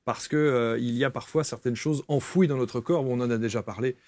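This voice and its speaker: A man's voice: medium pitch, fairly quick, a little mellow, dry recording. Man hosting a talk show, dry sound.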